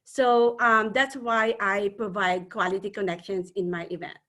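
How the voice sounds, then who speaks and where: A woman from the Philippines with a Filipino accent, speaking earnestly as a webinar panel speaker and often pausing on fillers. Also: medium pitch, fairly even intonation, a bit bright. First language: a Philippine language. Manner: earnest, hesitant with many fillers. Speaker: panel speaker, networking organizer.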